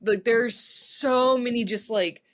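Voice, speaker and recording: A woman's voice: fairly high pitch, slow. A woman co-hosting a casual music review chat, effusive and thinking aloud as she goes. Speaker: podcast co-host.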